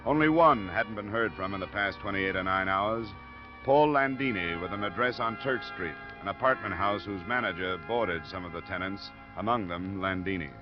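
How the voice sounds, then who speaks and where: Man acting a low-voiced, laconic radio detective. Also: normal speed, over music, close to an everyday voice. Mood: dry, narrating. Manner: dry, matter-of-fact, understated. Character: laconic, steady male insurance investigator narrating his case. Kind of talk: 1950s radio detective narration and dialogue. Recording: muffled old radio broadcast recording.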